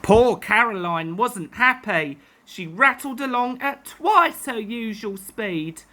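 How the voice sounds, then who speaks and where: A woman storyteller reading a children's tale aloud in an expressive narrating style. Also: on the high side, slow.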